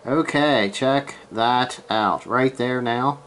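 A man with a mid-range voice talks slowly through a piece of hobby gear. He is casual and unscripted, halting as he thinks aloud. He speaks from behind the camera in a small room at home. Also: mid-range voice, fairly bright tone. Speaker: amateur radio hobbyist, presenter. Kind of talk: casual hands-on gear demonstration.

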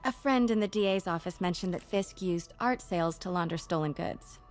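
A woman's voice: lowish voice, brisk, soft background music, close to an everyday voice. An actress playing a curious young woman reporter in a video game, friendly on the surface but persistently probing. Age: young adult. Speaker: undercover reporter.

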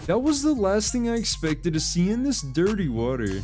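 A man's voice: sits high, huge pitch swings, normal speed, loud music behind the voice. A man narrating a video compilation in scripted reaction commentary.

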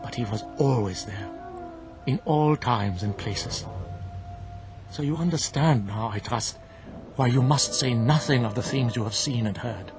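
An actor playing a grave old monk in a radio drama, speaking with solemn, deliberate, preacherly certainty. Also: male voice, medium pitch, close to an everyday voice. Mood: earnest, insistent. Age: older. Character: grave, zealous old monk, unshakably certain of his faith. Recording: music bed behind the voice.

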